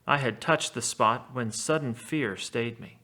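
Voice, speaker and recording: A man with a gravelly voice, a teacher reading a story aloud and commenting on it casually. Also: medium pitch, a little slow, sharp tone.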